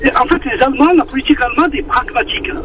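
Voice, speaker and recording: A man with a southern French accent calling into a radio show, speaking earnestly with many halting restarts over a muffled phone line. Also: extremely high pitch, background noise. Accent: southern French, Marseille-like. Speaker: caller. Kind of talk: phone-in opinion on air. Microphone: telephone.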